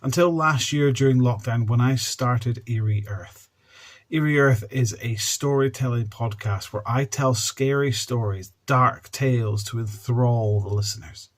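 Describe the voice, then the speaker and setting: A man's voice: medium pitch, measured pace. A man earnestly introducing a storytelling podcast as its host. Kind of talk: presenter introduction to camera or audience.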